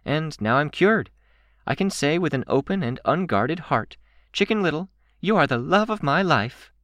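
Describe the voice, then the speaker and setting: A man's voice: mid-range voice, moderate pace, clean tone, no echo, pitched above the performer's own voice, close to an everyday voice. A man voicing a candid, playful young girl with a wry, theatrical streak in an audiobook. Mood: bright. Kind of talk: audiobook character voice.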